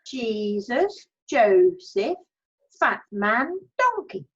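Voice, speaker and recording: Lady doing a little girl, slow and careful, bit muffled. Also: on the high side, big pitch swings, full character voice. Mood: childlike. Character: sweet little girl playing the Angel.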